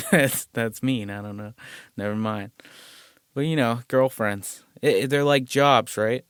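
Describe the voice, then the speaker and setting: A man hosting a casual solo podcast, rambling and profane with self-deprecating asides, recorded close on a mic in a small quiet room. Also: medium pitch, unhurried, clear, resonant tone, no echo. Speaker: podcast host.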